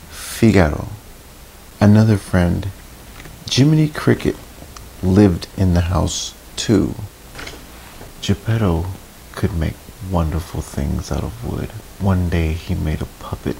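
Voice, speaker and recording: Man, children's read-aloud, creaky low voice, lapel mic, faint hiss. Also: dark tone, low-quality recording. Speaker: children's storybook reader. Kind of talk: read-aloud storytelling for kids, with asides. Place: small indoor room, seated on a couch. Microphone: clip-on lapel mic.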